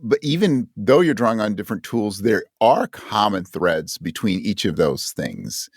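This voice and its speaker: A man's voice: on the low side, sing-song, moderate pace, no echo, clean studio sound. A man talking as a guest in a casual interview, reflective and self-deprecating. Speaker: podcast guest, actor and audio drama writer.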